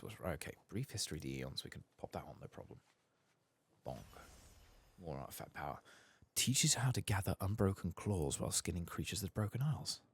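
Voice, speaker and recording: Deep, gravelly soft-spoken man. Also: slight background noise. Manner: murmuring, musing aloud to himself, hesitant. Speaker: gaming channel host. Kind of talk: soft-spoken ASMR game playthrough commentary.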